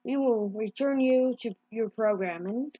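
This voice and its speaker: A woman improvising mock emergency news bulletins as an amateur announcer, with halting delivery and mid-word corrections. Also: a little high, no room sound, lo-fi sound.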